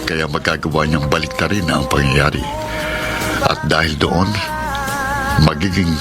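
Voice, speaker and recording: A man's voice: a bass voice, fairly even intonation, unhurried, loud music behind the voice. A man playing a bitter, blunt younger brother in a radio drama, scornful and emphatic. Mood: grave, narrating. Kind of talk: Filipino radio drama acting.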